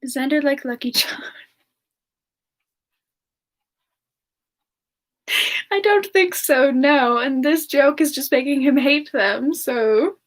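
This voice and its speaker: A woman voicing a gentle, playful siren entertainer in a soft, hesitant semi-ASMR chat, answering questions in character. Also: high voice. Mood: amused, sweet. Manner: soft, unhurried, giggly, hesitant.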